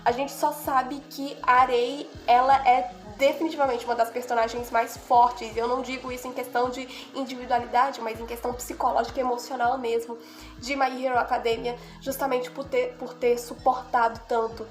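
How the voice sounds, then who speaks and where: A woman's voice: fairly high pitch, little pitch movement, normal speed, soft background music. A young woman with a cold and a nasal voice, giving an earnest, heartfelt anime analysis in casual talk, often asking "right?" and "you know?". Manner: earnest, heartfelt, repeats "né?" and "sabe?". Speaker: video creator analyzing anime.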